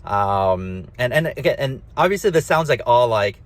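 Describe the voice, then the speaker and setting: A man with a nasal, slightly mumbly voice talks casually on his own, full of fillers and emphatic asides. His pitch sits in the middle for a man. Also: very animated, moderate pace, dry recording. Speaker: host. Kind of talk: rambling solo talk.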